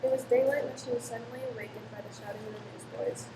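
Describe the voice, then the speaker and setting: A woman's voice: a little high, fairly even intonation, racing. A woman giving dramatic scripted narration for a radio play story. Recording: echoey, distant mic, clear noise.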